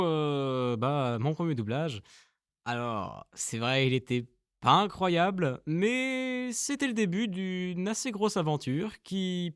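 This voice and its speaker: A man's voice: on the high side, dry recording, studio quality. A man narrating a casual vlog as an amateur dubber, informal and self-deprecating. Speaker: amateur voice dubber and channel creator.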